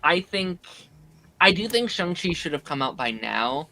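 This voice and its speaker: A man who is a little hoarse from being under the weather, chatting casually on a podcast and filling his talk with "like". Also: on the high side, big pitch swings, unhurried, crowd noise. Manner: rambling, many "like" fillers.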